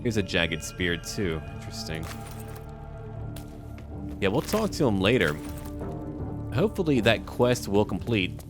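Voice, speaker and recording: Low-voiced man doing lively game commentary. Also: a little slow, long pauses, over music. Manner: talks to game characters and thinks aloud. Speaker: gaming video host playing and narrating. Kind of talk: casual let's-play commentary.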